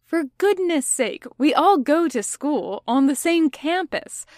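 A woman's voice: high voice, animated, takes it slowly, darkish tone, no echo, clean studio sound, pitched above the performer's own voice. A woman voicing a prim, exasperated, pedantic schoolboy with precise, formal wording in an audiobook story. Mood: indignant.

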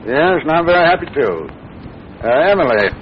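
Kindly older American dad, measured fatherly delivery, 1940s radio drama, muffled noisy recording. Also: a little high, sing-song. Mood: mildly puzzled.

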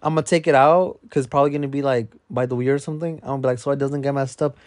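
A man's voice: medium pitch, fairly even intonation, very fast, darkish tone, no room sound, clean studio sound. A man from Mexico hosting a podcast, chatting casually in a laid-back way full of fillers. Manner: laid-back, many fillers and repeated yeahs. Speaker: podcast host. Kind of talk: casual chat.

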